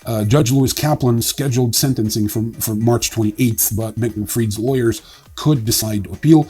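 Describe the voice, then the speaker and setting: A man hosting a casual news roundup in an offhand, wry way, with a husky voice and frequent hesitations. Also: mid-range voice, normal speed, warm tone. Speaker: newsreel host. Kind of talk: casual crypto news commentary, partly read.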